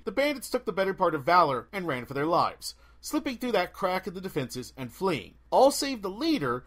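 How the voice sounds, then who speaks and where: A man reading a fantasy novel aloud as an expressive audiobook narrator. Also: fairly high pitch. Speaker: audiobook reader. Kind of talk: expressive scripted story narration.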